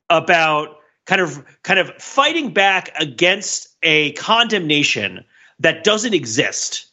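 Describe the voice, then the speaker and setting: Male podcast host, rambling and very animated, bright husky voice, slightly dull recording. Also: high-pitched. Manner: rambling, tags sentences with "right?". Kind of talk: animated conversational commentary. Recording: dull, muffled lo-fi sound.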